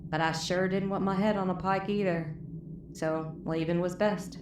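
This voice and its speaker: In a roomy, echoing recording with noise behind it, a woman acts out a bitter wasteland moonshiner woman with a low voice and a Southern US drawl. She is wounded and worn out, telling her tale in folksy, profane audio drama style. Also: fairly even intonation, moderate pace, lightly acted. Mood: wry, weary. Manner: folksy, profane, weary storytelling. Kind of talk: dramatic first-person storytelling in audio drama. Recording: roomy echo, clear background noise.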